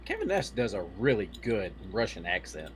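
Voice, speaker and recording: A man's voice: fairly low pitch, huge pitch swings, moderate pace, slight background noise. A man on a podcast panel, chatting casually about movies.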